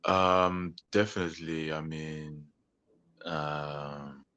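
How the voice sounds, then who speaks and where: Man musician guest, extremely low voice, loose hesitant answers, dry close sound. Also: fairly even intonation, drawn-out words, sharp tone, smooth, dry recording, dull audio. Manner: meandering, hesitant, full of "like" and "you know". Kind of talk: casual interview talk.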